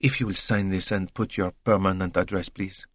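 A man playing a meticulous detective who speaks, courteous and formal. His phrasing is measured and deliberate, recorded close and dry. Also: sits low, steady tone, no echo. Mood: probing. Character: precise, polite middle-aged male detective.

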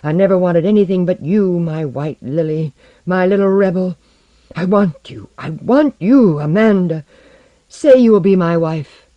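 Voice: medium pitch, a little slow, dry recording, muffled recording, pitched above the performer's own voice, natural voice. A reader voicing a proud, domineering Yankee landowner and ardent suitor from a storybook romance. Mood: ardent, urgent. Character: proud hot-tempered powerful male Yankee landowner suitor.

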